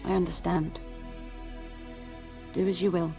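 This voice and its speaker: An actress playing a cool, bitter and knowing village herbalist in a fantasy game, measured and wry. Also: female voice, fairly low pitch, flat delivery, vocal fry. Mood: somber. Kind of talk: video game voice acting. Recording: music behind the voice. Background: music.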